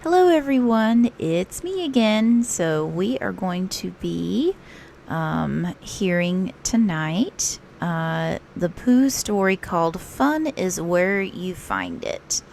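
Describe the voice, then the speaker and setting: A woman reading a children's picture book aloud as a storytelling teacher, expressive and unhurried. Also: fairly low pitch, creaky, slight background noise.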